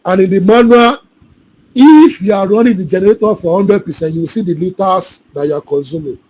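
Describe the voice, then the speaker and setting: Male instructor on a video call, higher-pitched, repetitive and checks in often. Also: brisk, darkish tone, low-quality recording. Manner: repetitive, keeps checking students follow. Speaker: solar installation trainer. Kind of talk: live online class, answering questions.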